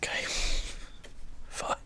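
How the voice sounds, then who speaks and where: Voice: drawn-out words, bright, forceful tone, slight background noise. A gamer whispering casual ASMR commentary while playing, thinking aloud. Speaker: video game streamer.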